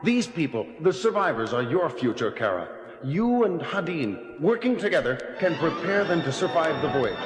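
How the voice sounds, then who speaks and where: An actor playing an urgent, commanding moonbase commander in a 1970s audio drama. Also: male voice, fairly high pitch, very animated, normal speed. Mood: earnest. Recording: music under the voice.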